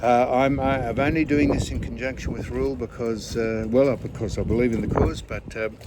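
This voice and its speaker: A man, chatting in a rambling, halting way full of fillers and restarts, with dry jokes. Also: mid-range voice, fairly even intonation, background noise. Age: 70s. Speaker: vlog host. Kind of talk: casual interview chat. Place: outdoors on a boat.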